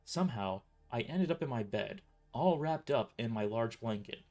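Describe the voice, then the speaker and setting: A man's voice: mid-range voice, expressive, normal speed, darkish tone, faint music behind. A man narrating his own story in the first person as a scripted audio drama. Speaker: author and narrator.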